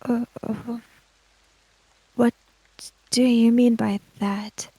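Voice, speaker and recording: A woman speaks slowly and tentatively as she plays a tabletop game. Her voice is mellow with plenty of fry, recorded dry and close. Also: on the high side, little pitch movement, no echo, low-quality recording. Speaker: tabletop role-playing player. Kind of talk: improvised in-game talk and narration.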